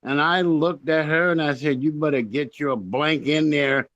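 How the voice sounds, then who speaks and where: A man's voice: on the high side, moderate pace, fairly bright tone, no echo, muffled recording. A man chatting casually and boastfully on a video call as a musician guest, rambling as he goes. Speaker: guest, rock musician friend of the band. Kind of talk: casual chat.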